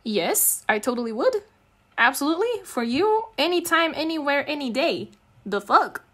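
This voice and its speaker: Young woman, slightly high voice, girlfriend ASMR roleplay, teasing, affectionate, slangy. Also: very animated, moderate pace, sharp tone. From Eastern Europe.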